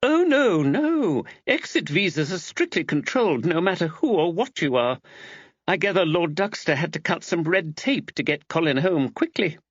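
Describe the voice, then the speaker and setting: A man's voice: on the high side, very animated, normal speed, no room sound, pitched above the performer's own voice. A man voicing a chatty, sympathetic café woman who gossips in an emphatic, explanatory way in an audiobook, on slightly dull audio. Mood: earnest, emphatic.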